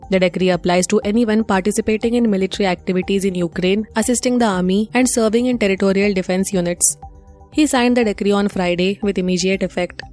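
A woman's voice: mid-range voice, darkish tone. A woman with an Indian accent reading scripted news bulletins as a radio presenter.

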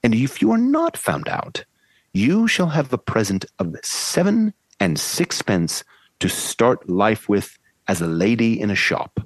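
A man's voice: medium pitch, wildly swooping pitch, measured pace, no echo, full character voice, poor audio. A man playing an overbearing upper-class English professor, delivering grand, mock-solemn threats and promises. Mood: lordly.

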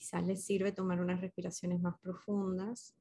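A woman leading a guided meditation as a facilitator, speaking gently and unhurriedly with pauses between short instructions. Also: fairly low pitch, level tone, slightly rough.

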